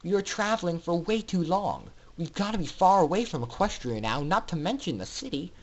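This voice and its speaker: A man voicing a doubtful young pony foal in a story reading, quiet and skeptical. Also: high-pitched, very animated, moderate pace, a little hiss or hum, low-quality recording. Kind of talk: dramatic fan fiction reading.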